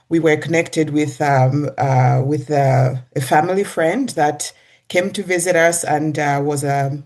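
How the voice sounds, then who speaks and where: A middle-aged guest from Zambia, answering interview questions in a hesitant way with many pauses and restarts. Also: mid-range voice, a little mellow. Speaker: executive director, guest.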